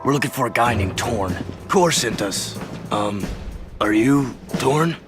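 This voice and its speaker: A man's voice: medium pitch, lively intonation, a little slow, over music, close to an everyday voice. Man playing a blunt young game hero. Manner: blunt, dryly sarcastic. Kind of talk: video game cutscene acting.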